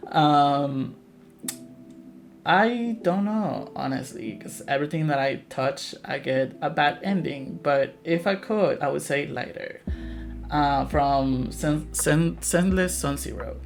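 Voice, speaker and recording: A man's voice: on the high side, lively intonation, slow pace, vocal fry. A man hosting a casual live Q&A as a game developer, playful and self-deprecating. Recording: background guitar music. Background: guitar music.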